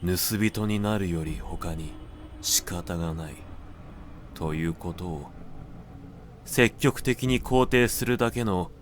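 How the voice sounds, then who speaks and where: A man narrating a literary audiobook in a measured, deliberate manner with dramatic pauses. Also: deep voice, slight background noise. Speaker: narrator reading literature aloud.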